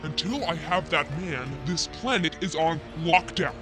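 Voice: medium pitch, unhurried, a bit bright, faint music behind, natural voice. An actor playing a corrupt, scornful police commander who barks orders in a sci-fi audio drama. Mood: commanding. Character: corrupt, imperious police commander, furious and contemptuous.

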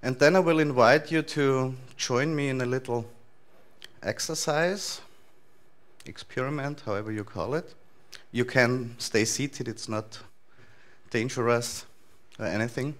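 A man in his thirties with an Austrian accent, giving a relaxed, loosely structured talk to a conference audience in a large lecture hall. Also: medium pitch, unhurried. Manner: relaxed, thinking aloud with frequent fillers and restarts. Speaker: conference speaker.

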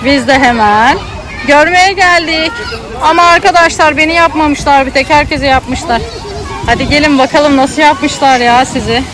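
A high-voiced woman with an Istanbul Turkish accent is vlogging outdoors. She chats casually, switching between addressing a child and her viewers, with a busy crowd audible around her. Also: moderate pace, poor audio. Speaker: vlogger parent filming a child. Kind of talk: casual vlog commentary. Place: outdoor children's theme park. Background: crowd chatter.

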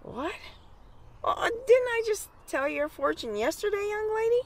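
A woman playing a phony fortune teller in a radio horror drama, with a theatrical, drawn-out delivery. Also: extremely high pitch, big pitch swings, exaggerated voice. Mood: flustered.

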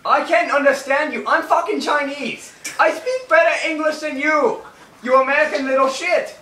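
Man putting on a squeaky, pushy shop owner, haggling and cursing in broken English; bright voice, distant roomy mic. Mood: indignant. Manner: rapid haggling, yelling and swearing, broken grammar. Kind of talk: improvised online voice chat bit.

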